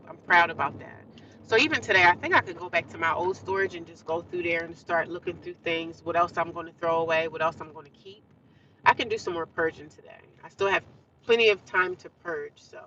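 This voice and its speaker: A woman's voice: lowish voice, far from the mic, muffled recording, noisy background. A woman chatting casually to her viewers from inside a car, talking with a congested nose. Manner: chatty, talks straight to viewers. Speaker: vlogger. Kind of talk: casual rambling chat to viewers.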